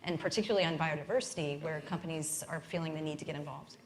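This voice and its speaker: A woman moderating a panel discussion, asking long framing questions in a measured way. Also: sits low, fairly even intonation, slight background noise.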